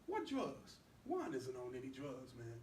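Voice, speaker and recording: A man's voice: medium pitch, huge pitch swings, a little slow, a little mellow. A man acting a protective, hot-tempered older brother in a stage play skit, talking in a colloquial, confrontational way. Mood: disbelieving. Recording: roomy, distant mic, noisy background.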